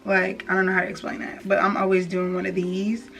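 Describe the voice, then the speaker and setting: A woman's voice: mid-range voice, completely flat delivery, fairly quick, creaky, a bit bright. A young woman beauty vlogger talking casually and informally to her viewers in a slangy, chatty tutorial style. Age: young adult. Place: small indoor room with plain wall. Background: background music.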